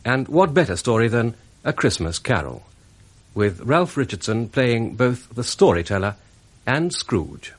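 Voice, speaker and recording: A man's voice: mid-range voice, big pitch swings, unhurried, a little hiss or hum. A man giving a scripted introduction as a radio announcer.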